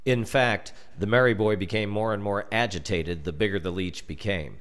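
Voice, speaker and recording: An American man narrating a scripted children's horror story in a deliberate, dramatic storytelling manner. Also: on the low side, a bit bright.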